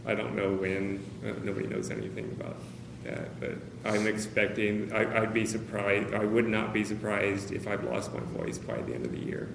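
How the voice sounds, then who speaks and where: A man speaking candidly to a meeting of caregivers, hesitant, with many pauses and fillers. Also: fairly low pitch, creaky. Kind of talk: personal talk to a small group. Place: meeting room with a group of about 45 people. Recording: roomy, noisy meeting-room recording.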